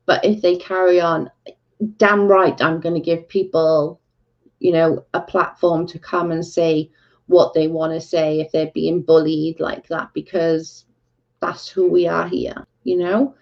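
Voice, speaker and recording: A woman's voice: on the low side, little pitch movement, measured pace, creaky, highs cut off. A Welsh woman hosting a casual livestream, talking bluntly and with exasperation to her chat. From Wales. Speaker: livestream host.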